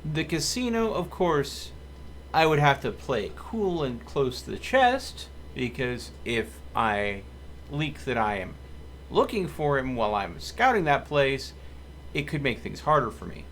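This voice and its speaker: Male game streamer, mid-pitched and expressive, thinking aloud at a measured pace in casual commentary. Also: a little hiss or hum. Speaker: video game livestreamer. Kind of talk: casual live gameplay commentary.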